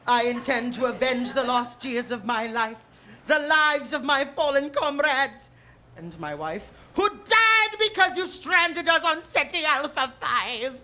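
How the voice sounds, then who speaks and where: A woman playing a grandiose, vengeful warlord villain in a staged musical parody, taunting with menacing, drawn-out theatrical emphasis. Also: high voice, expressive, bright, forceful tone, full character voice. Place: live stage.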